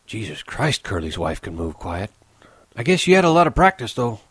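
A man's voice: on the low side, very animated, normal speed, a bit bright, slightly rough, no room sound, full character voice. A man voicing an anxious, earnest old ranch hand. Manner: earnest, pleading.

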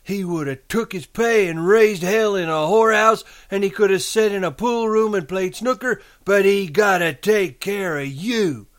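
A man voicing a sharp, foul-mouthed old country aunt from a novel, nagging in rural American dialect. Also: sits high, normal speed, hardly any pauses, pitched above the performer's own voice, close to an everyday voice. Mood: scolding.

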